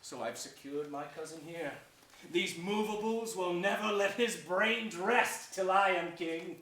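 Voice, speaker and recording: A man's voice: high-pitched, fairly bright tone. An actor playing a scheming, sardonic nobleman in a declamatory Shakespearean stage style, sly and falsely courteous. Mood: gloating, cynical. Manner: declamatory, sly, with drawn-out deliberate phrasing. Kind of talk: theatrical Shakespearean stage acting. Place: theater stage before an audience. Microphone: distant stage or camera mic. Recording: roomy stage pickup, distant, faint noise.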